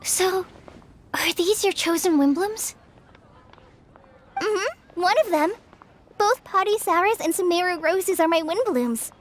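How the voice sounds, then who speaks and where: Very high-voiced, gentle young game heroine. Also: female voice, animated, slow, pauses often, slight background noise, exaggerated voice. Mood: heartfelt, grateful. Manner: earnest, heartfelt. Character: gentle, sincere, grateful young woman of a fantasy land. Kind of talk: scripted game dialogue.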